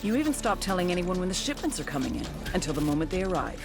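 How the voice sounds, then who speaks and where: A woman's voice: on the low side, sing-song, racing, vocal fry. An actress playing a tough, sardonic female intelligence agent, narrating a game briefing in a wry, foul-mouthed way over music. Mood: wry, cool. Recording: music behind the voice.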